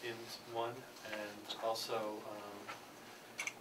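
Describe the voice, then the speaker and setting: Man, lowish voice, panel talk, halting with fillers, distant noisy recording. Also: completely flat delivery. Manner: halting, lots of fillers and restarts. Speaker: peace program presenter. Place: church conference hall.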